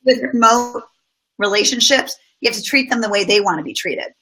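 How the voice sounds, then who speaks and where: A woman's voice: fairly high pitch, expressive, a little fast, bright, forceful tone, slight background noise, poor audio. A middle-aged woman talking through workplace experiences as a guest, thoughtful and full of personal examples. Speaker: guest speaker on business. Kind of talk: casual conversational interview.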